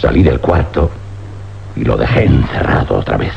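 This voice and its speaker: An actor playing an intense, obsessed scientist, phrasing dramatically and emphatically in old radio drama style. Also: male voice, rumbling low, lively intonation, normal speed, vocal fry, slight background noise, exaggerated voice. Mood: grave, intense. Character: intense, obsessed scientist doctor, haunted and insistent. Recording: muffled, faintly humming old radio recording.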